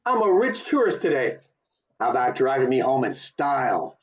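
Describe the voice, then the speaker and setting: A man playing a restless, eager young small-town man with big dreams in an amateur radio play, earnest and expressive. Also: medium pitch, moderate pace. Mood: breezy, cheerful. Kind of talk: community theatre radio play acting. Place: remote video call. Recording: dull lo-fi video call audio.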